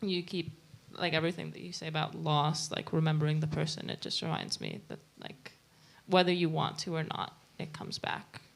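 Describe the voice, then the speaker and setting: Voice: medium pitch, big pitch swings, normal speed, gravelly, hardly any pauses. An audience member thinking aloud in a rambling way, full of "like" and half-finished thoughts.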